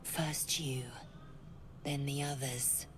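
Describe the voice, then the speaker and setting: An actress playing a fierce, doom-stricken female alien warrior in a video game, menacing, intense and measured. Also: extremely low pitch, fairly even intonation, background noise. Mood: grim resolve.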